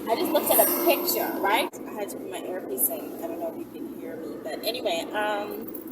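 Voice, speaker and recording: A woman's voice: medium pitch, expressive, fairly quick. A woman hosting a video, chatting informally and thinking aloud as she answers viewer requests with rhetorical questions. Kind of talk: casual rambling chat to camera. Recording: distant mic, loud vehicle and street noise.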